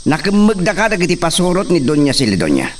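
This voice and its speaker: An actor with a northern Philippine accent playing an imperious, mocking young hacienda master in a radio drama, commanding and taunting. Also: male voice, on the high side, huge pitch swings, quick pace, far from the mic, a little hiss or hum. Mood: angry, taunting.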